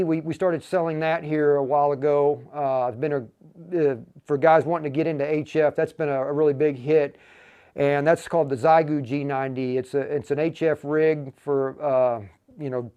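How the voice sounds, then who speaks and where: An American man hosting a livestream in a casual, folksy, informal way, talking in a halting flow. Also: on the high side, a little mellow, smooth. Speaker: livestream host for a radio equipment seller.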